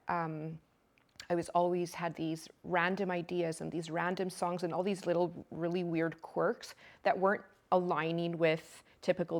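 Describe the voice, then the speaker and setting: A woman in her forties, an educator, earnestly describing her life in an interview with many pauses. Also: fairly low pitch, vocal fry. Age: 40s. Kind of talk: interview testimony.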